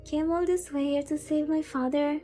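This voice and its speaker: A woman's voice: high-pitched, steady tone, normal speed, dark tone, soft background music. A woman streamer reading a visual novel aloud and chatting about it, with playful exclaimed asides. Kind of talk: livestream chat mixed with story reading.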